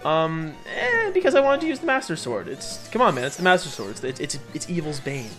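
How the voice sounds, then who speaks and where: A man's voice: a little high, sing-song, brisk, a bit bright. A man hosting a let's play with casual, rambling, jokey and self-deprecating commentary over game music. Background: video game music.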